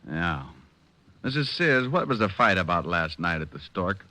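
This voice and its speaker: A sharp-witted radio detective, with a bright, hard-edged voice and very animated intonation. He is wry and needling, pressing his questions with confidence in the manner of a vintage crime serial. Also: deep voice, moderate pace, exaggerated voice. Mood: brisk, probing. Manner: punchy, wry, interrogating. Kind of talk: 1940s-50s radio detective acting.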